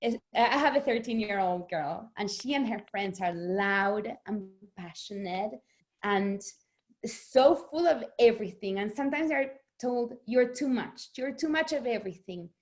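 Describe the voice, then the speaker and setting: A middle-aged woman from Argentina, a native Spanish speaker, talking as an author guest in an interview, animated and laughing often. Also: mid-range voice, a little slow. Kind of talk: conversational interview.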